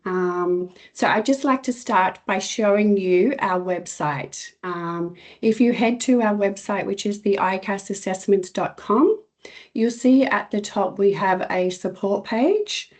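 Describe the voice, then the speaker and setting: An Australian woman with a slightly croaky voice, the kind a cough leaves behind. She talks through a website step by step in a steady, instructional webinar manner, with a scattering of "um"s along the way. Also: mid-range voice, measured pace. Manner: steady instructional, many fillers. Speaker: assessment consultant.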